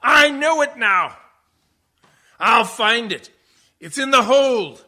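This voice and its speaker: An actor in a radio horror play playing a terrified ship's mate driven mad, raving between hushed dread and screams. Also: male voice, very high-pitched, expressive, slow pace, full character voice. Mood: frantic.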